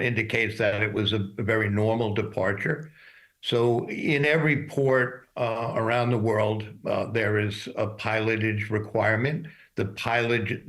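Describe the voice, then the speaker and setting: A man speaking as an industry expert in a news interview, explaining things in a measured, formal way. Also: lowish voice, a bit bright, rough recording.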